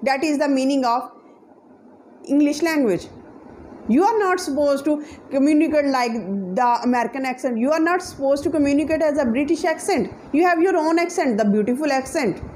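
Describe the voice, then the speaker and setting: A woman's voice: high-pitched, animated, moderate pace, darkish tone, slight background noise. A young woman with a Pakistani accent, a native Punjabi speaker, giving an earnest, emphatic motivational talk to camera as an English teacher, seated indoors. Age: young adult. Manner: earnest, emphatic, lots of hand gestures. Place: indoor room, seated on a sofa.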